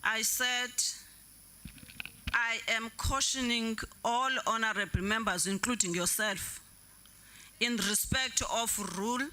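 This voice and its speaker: A man presiding over a legislature, measured and halting as he cautions members from a desk microphone in the chamber. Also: sits high, sharp tone, low-quality recording. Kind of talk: formal parliamentary chairing.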